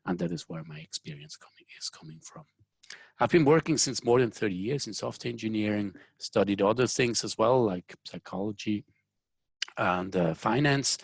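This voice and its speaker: Swiss man in his fifties, webinar presenter, deep gravelly husky tone, dry close sound. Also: no room sound. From Switzerland. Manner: measured, restarts and repeats words. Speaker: webinar speaker, company founder. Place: home or office, joining remotely.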